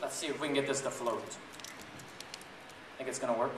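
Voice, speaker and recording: A man's voice: medium pitch, fairly even intonation, a little fast. Echoey, distant man reading to families. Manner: theatrical, playful, addresses the audience with questions. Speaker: children's book reader and blogger. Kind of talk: animated read-aloud to a group of families. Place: large echoey indoor room at a school event. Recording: echoey noisy room, distant mic.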